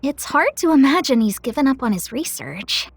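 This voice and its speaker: A woman's voice: high-pitched, big pitch swings, normal speed, creaky, noisy background, full character voice. A woman playing a worried, earnest female deity who guards time in a video game. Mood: earnest concern. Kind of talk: animated game cutscene acting.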